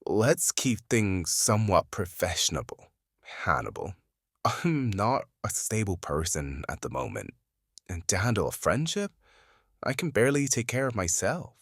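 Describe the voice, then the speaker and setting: A woman voicing a weary, guarded male FBI profiler in an audio drama, tired and deliberate, her voice pitched down to sound masculine. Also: very animated, husky, no echo, studio quality, exaggerated voice. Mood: guarded, cynical. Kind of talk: fan audio drama acting.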